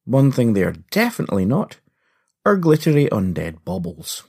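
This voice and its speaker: A man hosting a podcast with scripted comedic narration, husky in voice and playful, punning and theatrical in delivery. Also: mid-range voice, wildly swooping pitch, moderate pace, darkish tone. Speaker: podcast host. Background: faint music.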